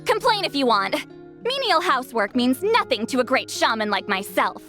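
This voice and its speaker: A proud, prickly shaman woman in a fantasy game. The voice is high, bright and sharp, swinging animatedly between haughty and flustered. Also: sing-song, moderate pace, faint music behind, full character voice. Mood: defensive, haughty. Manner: haughty, defensive, flustered stammers. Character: proud, prickly centuries-old shaman woman who sounds youthful.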